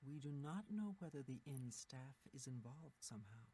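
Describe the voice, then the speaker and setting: A woman's voice: extremely low pitch, lively intonation, moderate pace, dark tone, husky, exaggerated voice. A woman voicing a stern female mage guild official in a video game, speaking in a formal, commanding way. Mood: grave. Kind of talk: video game character dialogue.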